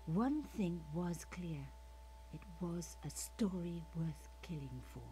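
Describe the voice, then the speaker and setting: An actress playing a young female journalist in an adventure game, narrating her investigation in a calm, wry, measured way. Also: fairly low pitch, soft background music, poor audio.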